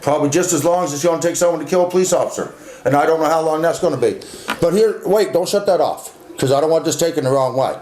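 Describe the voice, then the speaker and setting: A blunt, insistent man with a slightly high voice argues his opinions casually. He repeats himself and swears freely. The recording is echoey, with faint noise behind him. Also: fast, a little mellow. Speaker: interviewee. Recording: echoey, clearly noisy background.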